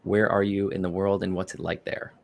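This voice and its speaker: A man's voice: low-pitched, level tone, a little fast, vocal fry, lo-fi sound. A man hosting a casual crypto livestream, with loose, upbeat patter full of fillers. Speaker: livestream host.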